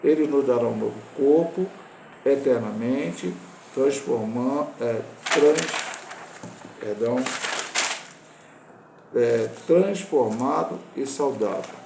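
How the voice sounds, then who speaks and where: A Brazilian man in his sixties, a pastor teaching a Bible lesson, talking in a halting way with restarts and self-corrections. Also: mid-range voice, vocal fry, darkish tone, reverberant, slight background noise. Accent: Brazilian Portuguese. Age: 60s.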